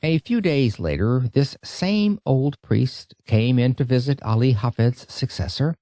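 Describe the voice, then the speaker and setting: A man narrating an audiobook in a dramatic, expressive storytelling style. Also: mid-range voice, unhurried, dry recording, clean studio sound.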